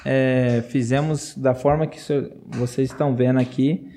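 Missionary man, mellow and earnest, laughs easily, repeats himself. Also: mid-range voice, fairly even intonation, normal speed. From Brazil. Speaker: missionary guest. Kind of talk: casual interview testimony.

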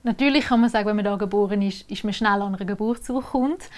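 A woman's voice: medium pitch, fast. A woman with a Swiss German accent, a civil registrar explaining marriage procedures in a casual podcast talk. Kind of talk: casual interview answers. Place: Switzerland.

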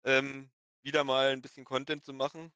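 A man with a slight lisp, chatting informally and haltingly as a podcast guest. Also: medium pitch, level tone, bright, forceful tone, smooth, no echo. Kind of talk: casual podcast chat.

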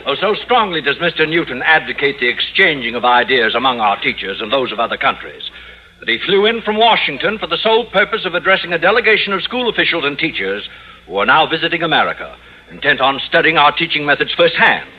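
Stern education official, formal dry manner, slightly high voice, lively intonation, muffled old radio sound. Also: male voice, fast, breathy edge. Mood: formal, self-assured. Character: stern, authoritative male school board head. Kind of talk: 1950s radio comedy acting.

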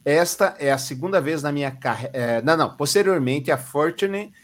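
A man's voice: fairly high pitch, moderate pace. A Brazilian man co-hosting a podcast, reading news stories aloud and adding dry, sarcastic comments.

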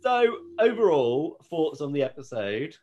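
A man's voice: on the high side, very animated, unhurried, warm tone, highs cut off. A British man hosting a podcast, chatting casually and with amusement as he recaps a TV show. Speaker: podcast host.

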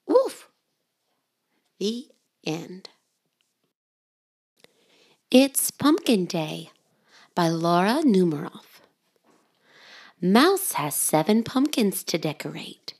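A woman hosting and reading children's storybooks aloud in an expressive, simple way for young children. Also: fairly low pitch, extremely slow, pauses often. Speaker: children's storybook podcast host and reader.

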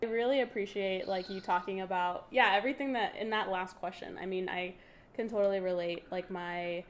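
A woman's voice: medium pitch, steady tone, fairly quick, a little hiss or hum. Earnest, chatty woman host. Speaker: podcast host. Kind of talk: casual interview conversation.

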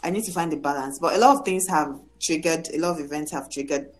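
Low-voiced woman hosting a podcast. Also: fast. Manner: chatty, expressive, laughs easily. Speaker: podcast host. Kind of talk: casual podcast chat.

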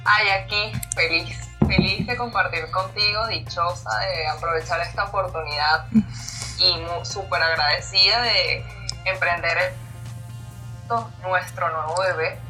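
A woman's voice: lowish voice, steady tone, a little slow, distant mic, over music, low-quality recording. A woman with a Caracas Venezuelan accent, co-hosting a casual podcast chat in a cheerful, effusive way. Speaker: podcast co-host.

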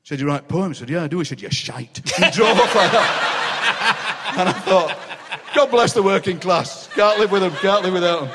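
An older man with a Yorkshire accent, a guest poet telling anecdotes on a stage with quick comic impressions and frequent laughter. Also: high-pitched, lively intonation, heavy background noise. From South Yorkshire, England. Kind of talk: live stage chat, storytelling. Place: large city hall on stage. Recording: long reverb of a large hall.

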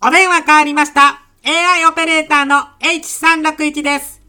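A woman's voice: high-pitched, level tone, a little fast, bright, forceful tone. A woman playing a rigid, oblivious AI phone operator with a formulaic, clipped manner in a comedy audio drama. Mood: brisk, robotic. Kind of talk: scripted customer-service lines in a comedy audio drama.

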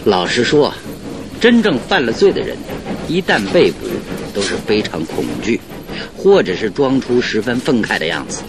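An actor playing a confident male detective in a radio drama, reasoning out a case with deliberate, theatrical authority. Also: sing-song. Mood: measured reasoning. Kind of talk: Mandarin radio drama acting. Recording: noisy old radio drama, train rumble behind.

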